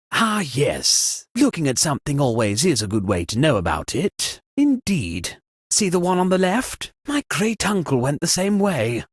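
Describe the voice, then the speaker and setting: A theatrical gentleman of wealth and titles, pompous and easily aghast. His pitch leaps wildly between droll murmurs and exclamations, with a husky edge, recorded very dry, like a comedic game character. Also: no room sound, full character voice. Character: pompous, dramatic landed-gentry party host, theatrically aghast. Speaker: game character hosting a murder mystery party.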